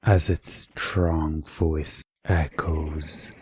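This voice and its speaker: A man with a dark, husky voice narrates a second-person hypnotic story. His delivery is extremely slow and deliberate, with dramatic pauses between phrases, in the measured style of scripted ASMR. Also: rumbling low, flat delivery, no room sound.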